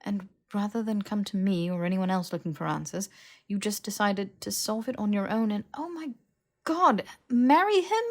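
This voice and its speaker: A woman's voice: medium pitch, lively intonation, moderate pace, lightly acted. A woman voicing a sensible, bookish young witch with a precise, scolding, exasperated manner in an audiobook. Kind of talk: fiction audiobook character voice.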